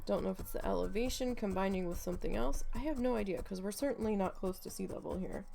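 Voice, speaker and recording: A woman's voice: medium pitch, quick pace, gravelly, warm tone, faint music behind. A woman vlogger chatting casually while she crafts, rambling with lots of fillers and swearing freely. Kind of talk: casual chat while needle felting.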